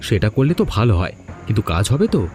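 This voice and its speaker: A man's voice: fairly low pitch, very animated, a little fast, darkish tone, soft background music. A man playing a determined, encouraging village friend in a fairy-tale cartoon, with a resolute manner. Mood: focused. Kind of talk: dramatic dubbed cartoon dialogue.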